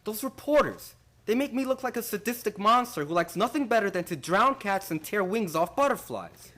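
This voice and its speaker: A man acts out a harried prosecutor in a radio play. He is emphatic and indignant, a little high in pitch and very lively. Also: normal speed, full character voice. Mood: exasperated. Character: harried, exasperated male prosecutor. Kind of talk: stage-like radio play acting.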